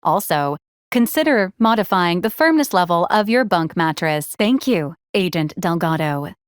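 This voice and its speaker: A woman voicing a polite, literal-minded service robot in a video game, speaking in a formal, precise, deadpan manner with clinical detachment. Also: on the low side, normal speed, smooth, no echo, studio quality, close to an everyday voice. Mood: flat, clinical. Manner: formal, precise, deadpan, clipped machine phrasing. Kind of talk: scripted video game character lines.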